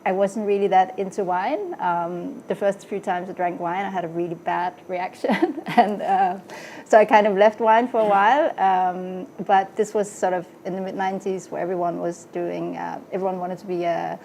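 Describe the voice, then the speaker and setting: Gravelly-voiced woman, halting and unhurried. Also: fairly low pitch, fairly even intonation, a little hiss or hum. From Denmark. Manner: hesitant, many ums, laughs softly. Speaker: restaurant wine buyer. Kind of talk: casual podcast introduction.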